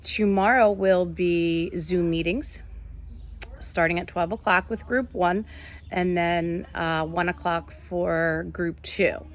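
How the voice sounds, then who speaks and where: A woman teacher reading a picture book aloud in an animated way, talking to children. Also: lowish voice, slow pace, a little hiss or hum. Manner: animated, addressing children with questions.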